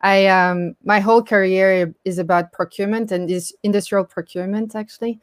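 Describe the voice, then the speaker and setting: A woman's voice: medium pitch, fairly even intonation, brisk, dry recording. French woman, hesitant startup founder. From France. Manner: hesitant, many fillers. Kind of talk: online interview talk.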